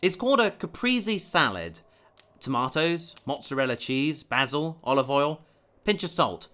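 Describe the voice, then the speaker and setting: Bright-voiced, earnest male spacefarer. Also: on the high side, big pitch swings, measured pace, heavily acted. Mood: patient, gentle. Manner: sincere, slightly awkward, conversational. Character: earnest, determined human spacefarer, kindly and awkward. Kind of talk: science fiction audio drama acting.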